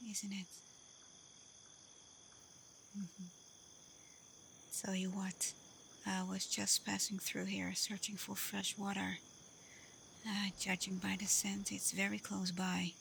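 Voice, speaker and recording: Woman acting as female werewolf alpha, ASMR roleplay, soft half-whisper, even pitch, unhurried, confident, teasing, night insects behind. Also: vocal fry, slight background noise. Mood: casual, inviting. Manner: calm, unhurried, gently teasing. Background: crickets, night forest ambience.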